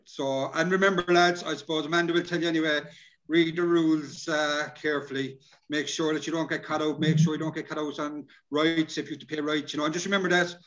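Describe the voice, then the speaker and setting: An Irish man presents casually to an online workshop, his voice a little higher than usual for a man. He is matey and halting, and the video-call sound is somewhat dull and lo-fi. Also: little pitch movement, fairly bright tone. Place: indoor room, on a video call.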